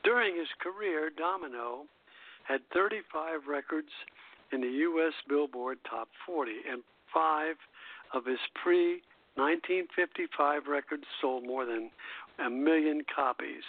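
A man hosting a radio show, reading prepared music history notes in a halting way and stumbling over the text. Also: fairly high pitch. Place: internet radio show over a phone connection. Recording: tinny, narrow phone-line radio sound.

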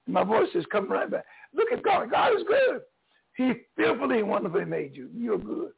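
An older man, a pastor with a Southern accent, preaching an encouraging sermon in a hoarse voice, swinging between loud and soft. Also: high voice, huge pitch swings, a little fast, narrow, tinny audio.

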